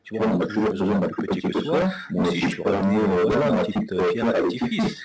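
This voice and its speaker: A man's voice: low voice, fast talker. A man hosting a live debate, speaking French as a second language, earnest and defensive about his interests. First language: not French. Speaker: stream host. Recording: echoey room with loud crowd noise.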